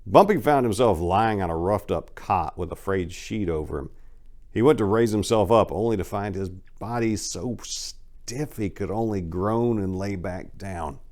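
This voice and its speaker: A man's voice: deep voice, moderate pace, darkish tone. A man hosting and narrating scripted dramatic readings in a theatrical, emphatic way. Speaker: channel host and story narrator.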